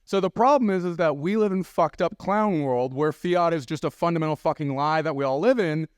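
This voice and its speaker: A man's voice: fairly high pitch, a little fast, clear, resonant tone, dry recording, studio quality. A man on a conference panel speaking in a profane, emphatic rant. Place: conference stage.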